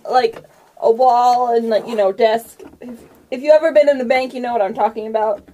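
A woman's voice: on the high side, steady tone, moderate pace, warm tone, poor audio. A woman with a giggly, casual manner, narrating a tabletop game among friends as the game master.

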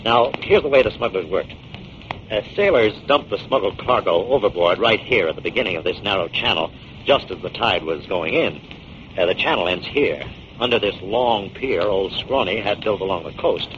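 A man's voice: fairly low pitch, expressive, normal speed, lightly acted. An actor playing a confident male detective in 1940s radio drama, explaining things in an assured way. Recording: muffled, noisy 1940s radio recording.

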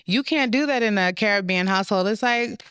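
A woman's voice: mid-range voice, fairly quick, a bit bright, clear, resonant tone, no echo. A woman raised in the United States, a guest on a business podcast, talking in a chatty, thoughtful way with many fillers. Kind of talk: casual interview conversation.

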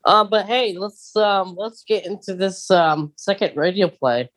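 A man's voice: sits high, measured pace, fairly bright tone. A man co-hosting a podcast, talking casually and eagerly as a big fan, with plenty of ums. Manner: enthusiastic fan, talkative, many fillers. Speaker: podcast co-host.

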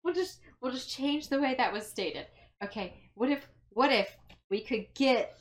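A woman's voice: high voice, moderate pace, crowd noise. A woman playing a tabletop role-playing game, chatting casually in a playful, rambling way.

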